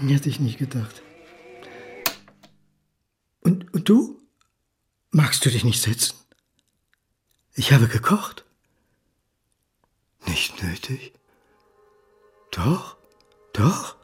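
An actor playing a nervous, sardonic man of forty-nine, wounded and over-eager, in theatrical, halting one-sided talk in a radio drama. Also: medium pitch, darkish tone, exaggerated voice. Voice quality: husky, breathy. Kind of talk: audio drama acting.

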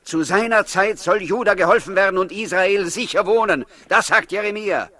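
An embittered man of ancient Judea with a fairly high voice. He is sarcastic and impatient, goading and challenging in the theatrical manner of a 1960s radio play. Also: moderate pace, sharp tone. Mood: scornful. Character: bitter, sceptical, rebellious man of ancient Judea. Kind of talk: 1960s German radio drama acting.

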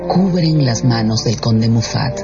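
A man's voice: medium pitch, lively intonation, a little slow. Male radio drama narrator over music. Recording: dull lo-fi broadcast with music behind.

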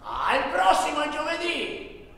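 An actor playing an unhurried, fatalistic African railway clerk on stage, teasing, stretching words and suddenly shouting. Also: male voice, very high voice, huge pitch swings, vocal fry, slight background noise, pitched above the performer's own voice, full character voice. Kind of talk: theatrical stage monologue. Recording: very echoey hall, far from mic.

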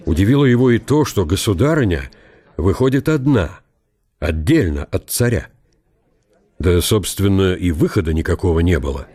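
Low, mellow male narrator, very animated. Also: moderate pace, breathy edge. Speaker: narrator in a radio drama. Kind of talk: dramatised literary narration.

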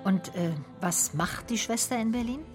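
A woman's voice: fairly high pitch, moderate pace, soft background music, close to an everyday voice. An actress playing a female private detective who narrates her case in a dry, matter-of-fact radio drama style. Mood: dry questioning.

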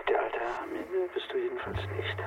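A man's voice: medium pitch, animated, fast. Through heavy noise and a dull radio filter comes a theatrical male plotter. He declaims with mockery and grandeur, like someone in a radio play overheard on a surveillance receiver. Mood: mocking. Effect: listening-device radio filter. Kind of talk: radio drama acting. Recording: muffled, very noisy bugged-room radio reception.

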